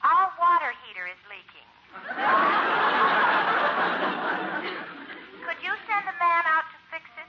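A woman's voice: very high-pitched, wildly swooping pitch, slow, pauses often, some chatter behind, exaggerated voice. An actress playing a sweet, poised woman on the telephone in a 1950s radio comedy, friendly and polite. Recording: muffled, faintly noisy old radio recording.

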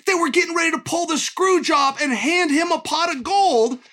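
Man, fighter, bright forceful voice, rousing rant, pointed rhetorical questions. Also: extremely high pitch, moderate pace. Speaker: MMA fighter. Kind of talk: fired-up commentary rant.

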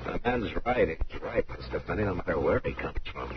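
An actor playing a stern, steady frontier marshal in an old radio western, speaking tersely and firmly. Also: male voice, low voice. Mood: resolute. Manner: terse, measured, firm. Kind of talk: old-time radio western drama acting. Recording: noisy, muffled old radio broadcast.

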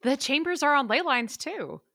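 Animated, exasperated teenage girl acted by a woman. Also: sits high, moderate pace, vocal fry, no echo, clean studio sound, heavily acted. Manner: earnest, exasperated teenage delivery. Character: bright, exasperated sixteen-year-old daughter. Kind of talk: audio drama acting.

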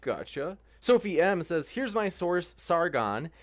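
A man hosting a stream, briskly thanking viewers and reading their comments aloud, slowing down carefully over the quotes. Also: high voice, sing-song.

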